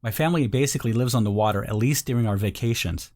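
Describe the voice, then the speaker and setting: A man voicing a breezy young woman customer giving a casual, excited testimonial. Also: medium pitch, fast, lightly acted. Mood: pleased, amazed. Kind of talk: acted testimonial read.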